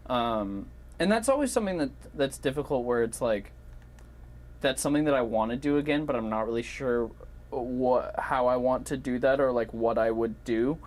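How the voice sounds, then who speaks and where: A man chatting casually in a halting, informal way, often trailing off as he thinks. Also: medium pitch, darkish tone. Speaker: online video creator and performer. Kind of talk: casual podcast chat.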